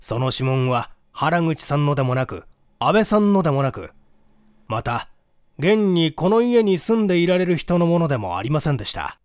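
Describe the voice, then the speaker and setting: A man's voice: a little high, very animated, normal speed, a little mellow, smooth, no room sound. A man performs a police detective from a mystery audiobook. He lays out his reasoning step by step in formal, polite speech, with very varied intonation. The sound is dry and close. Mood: earnest, emphatic. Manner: formal, orderly, explanatory. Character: methodical male police detective explaining his deductions formally. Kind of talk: dramatic audiobook reading.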